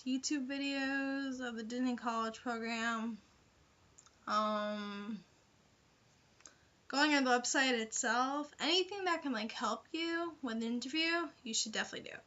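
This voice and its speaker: A woman's voice: fairly high pitch, pushed and bright, lo-fi sound. A young woman vlogger giving casual, chatty advice in an informal, hedging way with lots of filler phrases, on a home recording. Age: young adult. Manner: informal, hedging, frequent "like" and "you know". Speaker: vlogger giving interview tips.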